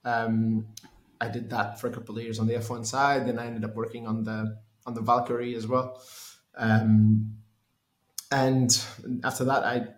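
Man with a flat, hesitant delivery. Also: mid-range voice, echoey room. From Portugal. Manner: hesitant, many restarts and fillers. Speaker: race engineer guest. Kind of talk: conversational interview.

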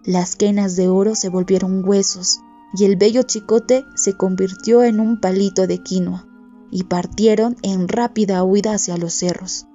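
A woman's voice: mid-range voice, fairly even intonation, unhurried, warm tone. A woman reading a folk tale as a scripted storytelling narration. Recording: muffled audio with music underneath. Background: music.

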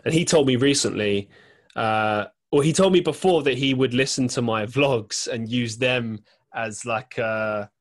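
A man's voice: mid-range voice, unhurried. A man hosting a podcast, chatting casually with some hesitant fillers. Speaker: podcast host. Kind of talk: casual interview chat.

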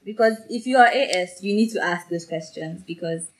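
Young woman, from Nigeria, casual chat, animated, emphatic, laughs often. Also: lowish voice, fairly quick, poor audio.

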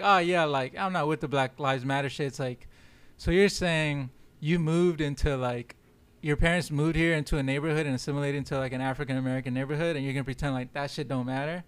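A young man whose first language is Spanish, speaking casually as a podcast guest. His voice sits a bit high and comes through clear and smooth. He talks in loose, slangy runs, leaning on "like" and "yo.". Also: brisk. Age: young adult. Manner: loose slangy talk full of "like" and "yo". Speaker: podcast guest, film director. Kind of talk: casual chat.

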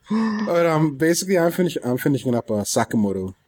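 A man co-hosting a podcast, chatting casually in a rambling, halting way. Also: fairly high pitch, big pitch swings, a little mellow, no echo, poor audio. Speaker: podcast co-host. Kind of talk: casual chat about anime and games.

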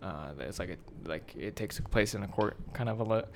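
A man's voice: on the low side, moderate pace, slight background noise. A young American man co-hosting a podcast, chatting casually in a slangy, opinionated and blunt way. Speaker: podcast co-host. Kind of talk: casual chat.

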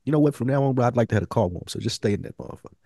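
A man's voice: medium pitch, animated, rapid-fire, warm tone, no room sound, studio quality. A man co-hosting a casual TV recap podcast, bantering in slang with some swearing. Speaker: podcast co-host.

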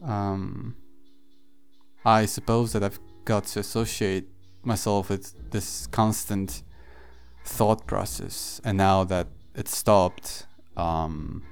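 A man hosting a solo podcast, thinking aloud in a halting, reflective way with frequent pauses and fillers. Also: sits low, fairly even intonation, gravelly, smooth. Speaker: podcast host. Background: faint background music.

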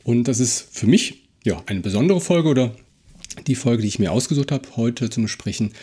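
A man co-hosting a podcast in casual discussion, speaking in a halting, reflective way and repeating words. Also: mid-range voice, expressive, dark tone, slightly rough. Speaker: podcast co-host.

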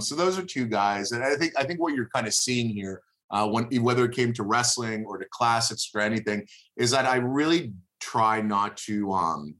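A man in his thirties, a native Hebrew speaker from Israel, talking as an interview guest in a thoughtful, halting way with many fillers. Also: fairly low pitch, a bit bright. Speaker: guest, moving company businessman. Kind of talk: casual podcast interview.

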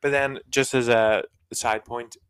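A man's voice: medium pitch, fairly even intonation, measured pace, a bit bright, smooth. A man co-hosting a casual church podcast, asking questions in a playful, teasing way.

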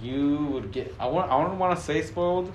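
A young man on a casual podcast panel, slangy and sweary, carefully circling back to soften his points. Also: on the high side, moderate pace. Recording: roomy echo, faint noise.

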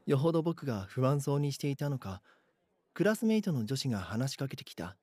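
Anxious schoolboy, acted by a man, expressive and dry. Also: medium pitch, fairly quick, slightly rough, dry recording. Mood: uneasy, brooding. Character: anxious schoolboy narrating an eerie mystery. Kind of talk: first-person audio drama narration.

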